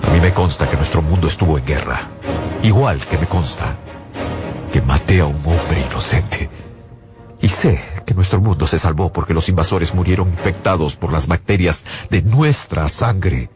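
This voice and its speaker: Radio drama: male actor as haunted survivor giving grave testimony, extremely low voice, music underneath. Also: moderate pace, close to an everyday voice. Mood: solemn, bitter. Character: haunted male survivor of an invasion, husband and father. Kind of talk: radio drama first-person narration. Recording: loud music bed behind the voice.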